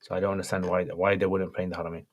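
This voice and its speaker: Man, slightly croaky, scholar on a live Q&A, fairly low voice, short direct answers. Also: flat delivery, racing. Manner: brief, matter-of-fact answers. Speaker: Islamic scholar answering viewer questions. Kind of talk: livestream Q&A, reading out questions.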